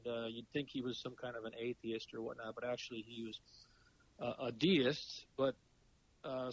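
A middle-aged man with a cold and a raspy voice, talking as a podcast guest in a halting way with many fillers. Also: mid-range voice, dull audio. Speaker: podcast guest, philosophy professor. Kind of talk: casual interview talk.

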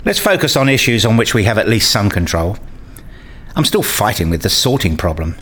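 Low-voiced, frustrated academic played by a man. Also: sing-song, brisk, fairly bright tone, breathy edge, heavily acted. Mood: puzzled, curious. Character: frustrated, restless male academic narrating his own dreary days. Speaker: narrator and lead character. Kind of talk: first-person radio play narration and dialogue.

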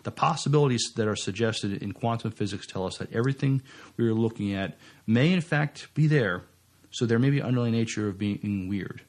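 A man co-hosting a podcast, reading out entertainment news and chatting, with a halting, stumbling delivery. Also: lowish voice, a little mellow. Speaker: podcast co-host.

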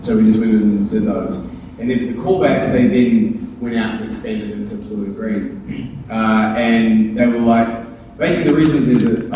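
A young man, an actor on a convention panel, telling a casual, self-deprecating story that rambles and corrects itself as he goes. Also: lowish voice. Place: large convention hall. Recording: distant, echoey hall with noise.

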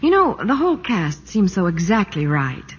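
A woman delivers scripted promotional chat as a 1940s radio reporter, gushing with enthusiasm. Her husky voice is very animated, on a muffled old broadcast. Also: medium pitch, moderate pace, big-room sound. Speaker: Hollywood reporter.

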